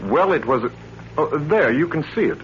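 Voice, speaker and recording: A man playing a well-off homeowner in a 1940s radio thriller, hesitant and flustered, quick to turn indignant. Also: fairly high pitch, animated, lightly acted. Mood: explaining. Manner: hesitant, then indignant and emphatic. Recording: dull vintage radio broadcast, faint hum.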